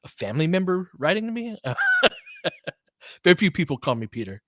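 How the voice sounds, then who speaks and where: A man's voice: high-pitched, wildly swooping pitch, moderate pace, bright, forceful tone, dry recording. A man hosting a sports stream in a casual, conversational way, thinking aloud and qualifying his opinions as he answers listeners. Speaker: sports livestream host.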